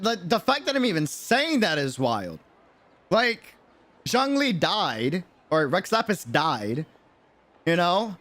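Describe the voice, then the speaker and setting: Animated, high-voiced American male streamer. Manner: slangy, expressive, emphatic, repeats himself. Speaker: game streamer. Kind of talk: casual livestream reaction commentary.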